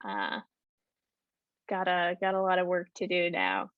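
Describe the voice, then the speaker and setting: A woman's voice: fairly low pitch, big pitch swings, measured pace, dry recording. A woman presenting a travel talk and answering audience questions over a video call, hesitant with many ums and restarts. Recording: dull lo-fi video call audio.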